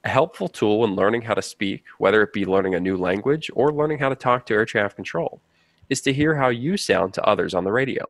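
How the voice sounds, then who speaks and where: A man reads scripted explanatory narration in a fairly low voice. There is noticeable creak in his tone, and the recording is dry and close. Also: lively intonation, fast, dry recording. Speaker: narrator of a flight training promo.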